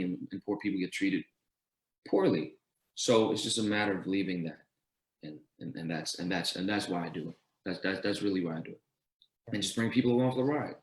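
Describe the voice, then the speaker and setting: Earnest man counting off his points. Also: deep voice. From Denmark. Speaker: guest entrepreneur. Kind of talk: answering questions at a town hall. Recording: roomy echo.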